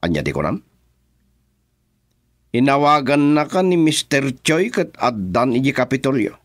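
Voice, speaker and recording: An actor playing a gruff, domineering boss in an Ilocano radio drama, brusque and scolding. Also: male voice, mid-range voice, big pitch swings, measured pace, no echo. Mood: brisk, bossy. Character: gruff, bossy male political operator barking at his driver.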